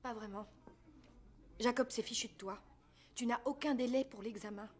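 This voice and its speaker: A woman's voice: on the high side, fairly even intonation, moderate pace, slightly rough. An actress with a French accent playing a tentative, caring young female student in a dubbed comedy film. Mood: hesitant, concerned. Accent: French (France).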